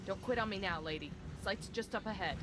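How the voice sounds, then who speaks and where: An actress playing a tough, gruff special forces squad leader in a video game, blunt, commanding and profane. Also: female voice, mid-range voice, brisk, loud music behind the voice, close to an everyday voice. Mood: blunt, urgent.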